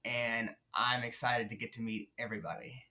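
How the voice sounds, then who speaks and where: A man's voice: medium pitch, flat delivery, normal speed, bright, forceful tone. A man who stutters, a podcast guest, talking casually with many fillers and restarts. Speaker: podcast guest, nonprofit founder. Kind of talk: casual interview talk.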